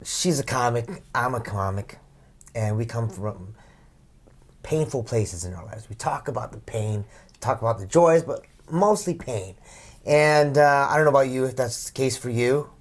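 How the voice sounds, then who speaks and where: A man hosting an interview, a comic himself, asking questions in a halting way with repeated words. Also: medium pitch, lively intonation. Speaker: talk show host and comedian. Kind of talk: casual interview.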